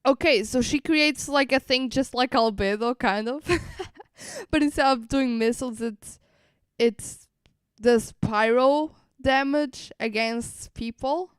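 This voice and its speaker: A woman's voice: sits high, slow, clear, resonant tone, dry recording, clean studio sound. A woman streamer giving casual live reaction commentary, rambling and repeating phrases as she thinks aloud. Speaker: streamer reacting to a game livestream.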